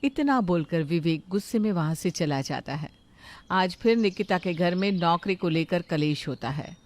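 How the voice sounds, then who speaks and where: A woman's voice: fairly low pitch, expressive, moderate pace, a little mellow. A woman reading scripted narration for a moral story, in the manner of a storyteller.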